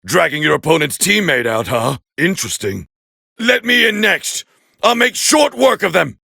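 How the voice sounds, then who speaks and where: A man voicing a proud, scornful alien warrior prince as battle commentary in an anime fighting game, with a harsh, gravelly growl and a haughty, clipped delivery. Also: fairly high pitch, sing-song, normal speed, bright, forceful tone, no room sound, studio quality, heavily acted.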